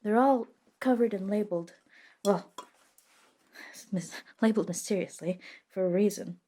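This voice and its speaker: A woman playing a friendly, reluctant modern witch in a soft-spoken ASMR roleplay, casual, unhurried and slightly wry. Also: mid-range voice, natural voice. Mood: wry, firm. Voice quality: soft, hushed delivery.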